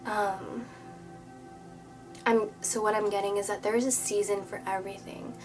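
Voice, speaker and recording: A woman with a slightly nasal, congested voice giving a spoken tarot reading to her viewers, earnest and soothing, often dropping into a hushed whisper. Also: medium pitch, steady tone, moderate pace, low-quality recording. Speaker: tarot reader. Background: background music.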